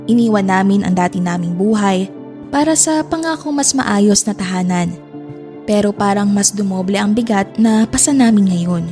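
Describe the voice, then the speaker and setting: A woman reading a scripted first-person dramatic narration in a reflective, sorrowful way, with music underneath. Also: mid-range voice.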